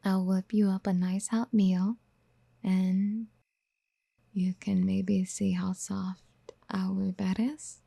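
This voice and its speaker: A woman playing a doting, possessive, motherly yandere in an ASMR roleplay, speaking in soft, breathy whispers with tender coaxing, close to the mic. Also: medium pitch, level tone, slow pace, dry recording, exaggerated voice.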